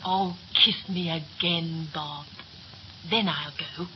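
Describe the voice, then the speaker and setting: A woman's voice: deep voice, fairly even intonation, slow. Young girlfriend in old radio melodrama, coaxing, drawn out, muffled n noisy. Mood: coaxing, fond. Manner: coaxing, theatrical. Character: clinging, pleading young sweetheart of a ship's officer. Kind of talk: 1930s radio melodrama acting.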